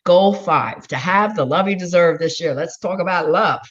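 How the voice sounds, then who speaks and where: A woman's voice: lowish voice, normal speed, lo-fi sound. A woman life and love coach giving a recorded class, speaking in an encouraging, motivational way straight to her listeners.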